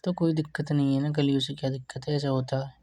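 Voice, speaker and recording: A man in his thirties speaks in a casual, everyday way. His tone is dark and mellow, with a relaxed edge. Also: mid-range voice, fast, a little hiss or hum. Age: 30s. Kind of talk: casual chat.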